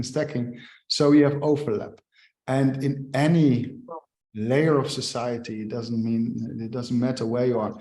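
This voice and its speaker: A man on a discussion panel who speaks in an animated, halting way, stumbling over words. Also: mid-range voice. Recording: echoey room.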